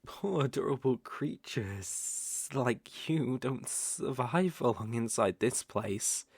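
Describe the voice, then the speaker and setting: A sly serpent creature, acted by a performer of ambiguous voice in an intimate audio roleplay, speaking extremely slowly in a close, dry recording. The naga coaxes and teases like a predator charmed by small prey, with a smooth drawl and soft chuckles. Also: medium pitch, animated, no echo, heavily acted. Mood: sly, wistful. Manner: slow, teasing, coaxing drawl with soft chuckles. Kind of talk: roleplay ASMR acting.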